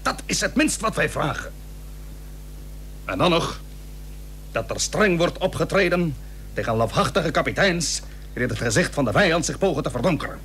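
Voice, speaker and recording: A blunt, animated seafaring admiral. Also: male voice, fairly high pitch, moderate pace, pauses often. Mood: firm, demanding. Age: middle-aged. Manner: plain-spoken, earnest, formal. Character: blunt, dutiful middle-aged Dutch admiral of the seventeenth century. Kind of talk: radio drama acting in archaic formal Dutch. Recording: humming, noisy old radio recording.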